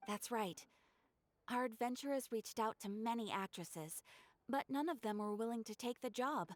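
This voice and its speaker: A woman's voice: on the high side, moderate pace, slight background noise, lightly acted. A woman playing a polite, composed guild receptionist in a video game, speaking formally and courteously. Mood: explaining. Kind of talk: scripted video game voice acting.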